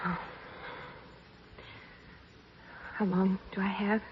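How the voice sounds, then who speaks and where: Casting: woman for frightened, gentle young stowaway, 1950s radio style, tremulous and bravely resigned, dulled noisy old sound. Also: mid-range voice, level tone, brisk, muffled recording, natural voice. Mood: shaken, hushed. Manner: tremulous, hesitant, plaintive. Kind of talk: 1950s radio drama acting.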